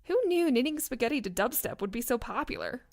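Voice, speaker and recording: A woman's voice: on the high side, expressive, fast talker, gravelly, darkish tone. A young woman with an American accent playing an earnest, long-suffering best friend in an audio drama, dry and fond. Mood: exasperated, teasing. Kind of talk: naturalistic audio drama acting.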